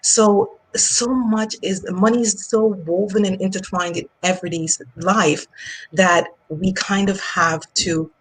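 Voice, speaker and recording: A woman's voice: lowish voice, husky, poor audio. A woman in her forties from Jamaica, with a Jamaican accent, talking earnestly as a finance coach guest in a casual interview, often repeating words as she thinks.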